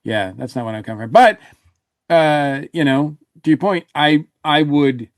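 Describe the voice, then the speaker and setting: A man's voice: a little high, a little slow, no echo. Man chatting casually, very dry close sound. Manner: rambling, often trailing off and rephrasing. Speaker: podcast panelist reviewing audio dramas. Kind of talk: casual panel discussion.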